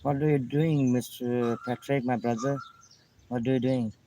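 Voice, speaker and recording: A man from Pakistan with a Pakistani accent, chatting in simple, halting English, repeating friendly phrases and greetings. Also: medium pitch, steady tone, dark tone, slight background noise, rough recording. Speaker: vlogger chatting with strangers. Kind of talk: casual friendly chat.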